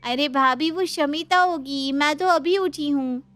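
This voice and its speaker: A woman plays a young twin from a family story, full of mischief. She speaks high, with a teasing, slightly whiny and demanding manner. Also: a little slow, a bit bright. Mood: playful.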